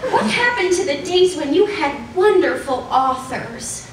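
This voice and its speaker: A woman's voice: sits high, expressive, a little slow, heavy background noise. A middle-aged woman giving a dramatic stage reading of letters with exaggerated indignation, into a stand mic. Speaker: stage reader. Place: stage in a large hall. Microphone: stand mic at a music stand. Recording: echoey hall from far off.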